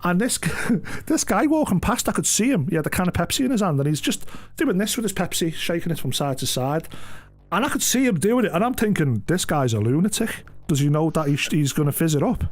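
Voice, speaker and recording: A man's voice: sits high, big pitch swings, fairly quick. A man with an English accent chatting casually on a stream, telling rambling, sweary anecdotes. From England. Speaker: game live streamer. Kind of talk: casual chat, animated anecdotes. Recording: music behind the voice. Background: music.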